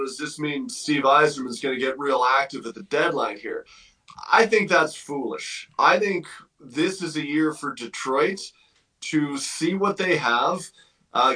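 Bright-voiced male sports analyst, slightly distant. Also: mid-range voice. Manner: opinionated, explanatory. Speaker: hockey analyst guest.

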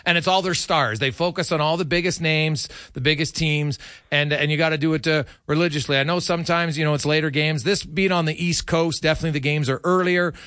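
A man's voice: fairly high pitch, fairly even intonation, brisk, a bit bright, no echo, clean studio sound. A man hosting a sports talk show, chatting casually as he interviews a guest.

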